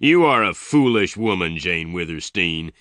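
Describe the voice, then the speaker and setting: A man's voice: low voice, animated, a little slow, fairly bright tone, no echo, studio quality. A man voicing an angry, jealous and commanding boss in a western story, speaking in threats. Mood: scornful, commanding.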